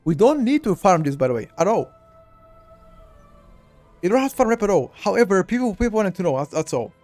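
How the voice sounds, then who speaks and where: A man with a Bulgarian accent and a fairly high voice walks viewers through a game live. He talks to his chat directly and loosely, circling back and repeating his words as he goes, with faint music underneath. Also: big pitch swings, a little fast, darkish tone. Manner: chatty, addresses chat directly, repeats himself. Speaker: game guide streamer. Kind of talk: live-streamed gameplay walkthrough.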